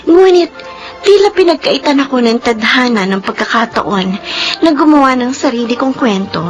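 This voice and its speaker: Radio drama daughter: Filipino, teenage, frustrated, high animated voice. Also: female voice, normal speed. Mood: wistful. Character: frustrated, dutiful teenage daughter living in aunt's shadow. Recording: music under the voice.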